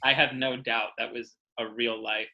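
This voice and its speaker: An American man from New York chatting casually as a podcast guest, telling personal anecdotes in an animated, self-mocking way with plenty of swearing. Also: medium pitch, moderate pace, muffled recording. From New York City, United States.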